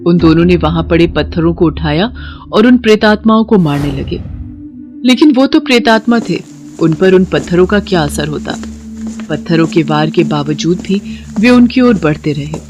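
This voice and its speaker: Lady storyteller, scripted dramatic narration, animated voice, bit of music behind. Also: fairly low pitch, moderate pace.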